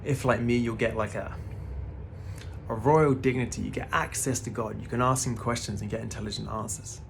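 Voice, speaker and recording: A man's voice: mid-range voice, steady tone, a little fast, vocal fry, slight background noise. A man in his thirties giving a casual, laid-back spiritual talk to the camera, often calling the listener "man" and swearing now and then. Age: 30s. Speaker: spiritual vlogger.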